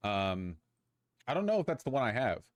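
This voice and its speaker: A man's voice: mid-range voice, sing-song, normal speed, dry recording. A man streaming casually, thinking aloud as he chats. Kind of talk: casual livestream chat.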